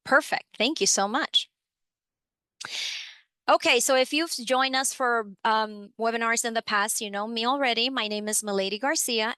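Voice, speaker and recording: Upbeat woman from the Dominican Republic hosting a training webinar; fairly high voice, dry computer-mic sound. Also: a bit bright, no echo. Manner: friendly, upbeat, with frequent fillers. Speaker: webinar presenter, program supervisor. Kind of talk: educational webinar presentation.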